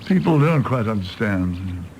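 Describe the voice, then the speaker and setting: A man's voice: fairly low pitch, big pitch swings, unhurried, a little mellow, slight background noise. A man with an English accent playing a rueful, tippling elderly doctor in a radio drama, wry and rambling. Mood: confiding. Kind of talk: stage play performed as broadcast drama.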